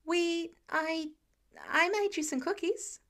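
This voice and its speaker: A woman's voice: very high voice, slow pace, fairly bright tone, voice pushed up, full character voice. A woman voicing an earnest, awkward teenage boy superhero in an audiobook-style story, polite and hesitant, stumbling over words. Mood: eager.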